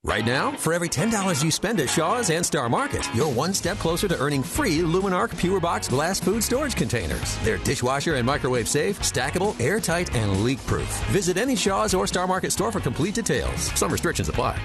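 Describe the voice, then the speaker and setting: A man's voice: on the high side, big pitch swings, fairly quick, few pauses. A man reading a scripted store promotion as a radio ad announcer. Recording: clear music bed behind voice.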